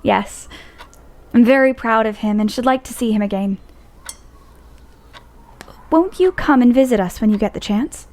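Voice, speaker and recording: A woman's voice: on the high side, normal speed, pauses often, darkish tone, natural voice. A woman playing an earnest, stubborn young woman writer in a period audio play.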